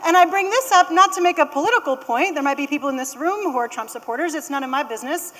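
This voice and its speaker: A woman's voice: high-pitched, fast talker, a bit bright, in a large hall. An American woman giving a conversational conference keynote with wry asides. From United States. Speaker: conference speaker. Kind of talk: keynote talk.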